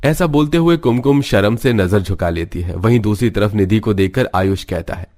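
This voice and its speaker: A man's voice: lowish voice, normal speed, a little mellow. A man reading scripted narration for a cartoon story.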